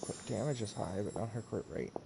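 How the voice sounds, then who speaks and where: A man doing casual live game stream commentary in a halting, rambling way. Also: lowish voice, vocal fry, very noisy. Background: video game music.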